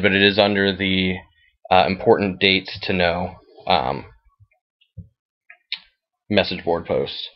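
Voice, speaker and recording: A man's voice: low-pitched, dull audio. An American man giving a casual, matter-of-fact screen-share walkthrough in an informal way. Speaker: fantasy football league commissioner. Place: voice-over at a computer, screen recording.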